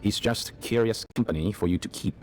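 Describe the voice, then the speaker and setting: An actor playing a courteous but defensive town official in a video game. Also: male voice, lowish voice, a little fast, darkish tone, slight background noise, close to an everyday voice. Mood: guarded.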